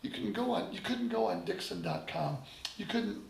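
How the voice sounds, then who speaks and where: A middle-aged man with a raspy voice, talking enthusiastically and casually to a clinic audience in a music store. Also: sits high, big pitch swings, moderate pace, a little hiss or hum. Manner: enthusiastic, rambling. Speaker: drummer giving a clinic. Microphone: handheld microphone. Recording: echoey room.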